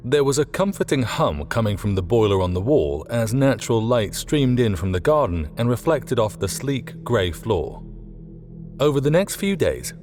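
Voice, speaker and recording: A man's voice: on the low side, darkish tone. A man reading scripted fiction as an audiobook narrator. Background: faint music.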